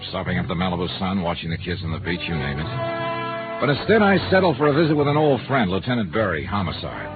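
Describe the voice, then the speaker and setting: A man's voice: sits low, huge pitch swings, quick pace. A man playing a wry, easygoing insurance investigator in 1950s radio detective style, with an American accent and casual, wisecracking delivery. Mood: wry narration. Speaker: radio drama lead and narrator. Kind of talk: 1950s radio detective acting, first-person narration. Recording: tinny 1950s radio recording with music.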